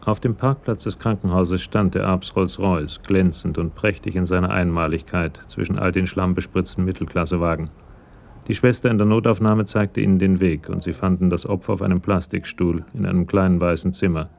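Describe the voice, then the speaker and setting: Male narrator for radio crime drama: very low, creaky, measured, matter-of-fact. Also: steady tone, darkish tone. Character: sober male narrator of a police crime story.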